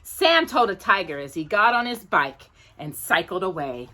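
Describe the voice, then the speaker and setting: A woman hosting a children's storytime, reading a picture book with playful, drawn-out emphasis and chatty asides to a companion. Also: lowish voice, very animated, bright, forceful tone. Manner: addresses a companion directly while reading.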